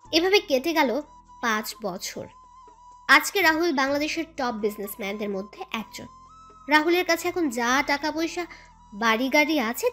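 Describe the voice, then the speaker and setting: A woman's voice: high voice, fairly bright tone. A woman reading scripted story narration as the narrator.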